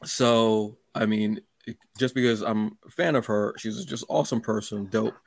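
A man with a slightly low, creaky voice hosts a casual podcast interview. His recording is close and dry, and he talks informally, in halting phrases. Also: steady tone, no room sound. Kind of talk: casual chat.

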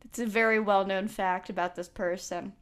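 A woman's voice: medium pitch, steady tone, normal speed, smooth. A woman hosting a casual podcast, playfully running a guessing game and teasing the players. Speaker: podcast host.

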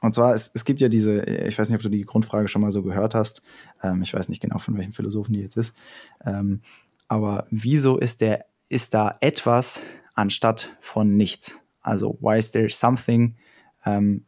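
A man's voice: on the low side, steady tone, normal speed, a little mellow. Young man explaining with many fillers. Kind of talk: casual explanatory chat.

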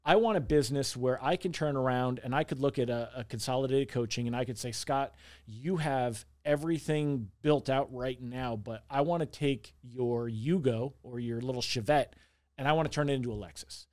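A man's voice: mid-range voice, normal speed, a little mellow. Male, forties, interview guest. Conversational, self-reflective talker who repeats words while working through his thoughts. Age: 40s. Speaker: interview guest, small business owner.

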